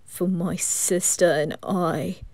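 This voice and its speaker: A woman's voice: fairly low pitch, fairly even intonation, slow, darkish tone, no room sound. A woman voicing a stern, vengeful teenage boy lord in a fan audio drama, issuing clipped commands. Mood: commanding. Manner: clipped, commanding, whispered threats.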